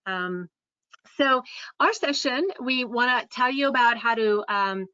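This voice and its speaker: A woman's voice: a little high, a little slow, bright, forceful tone, clean tone, no room sound. A woman with a Hungarian accent giving a webinar presentation as a care home administrator, hesitant with many fillers and restarts. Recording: dull, dry online call audio.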